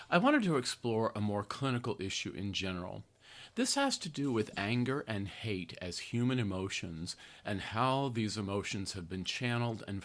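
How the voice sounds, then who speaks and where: An older American man hosting a podcast, reading his own article aloud in an earnest, deliberate way with conversational asides. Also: lowish voice, fairly bright tone. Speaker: podcast host, therapist and activist writer.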